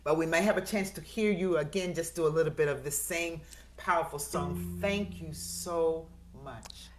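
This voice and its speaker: Female event host, low register, conversational and openly admiring. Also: normal speed, over music. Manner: effusive, admiring. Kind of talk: conversational online event hosting.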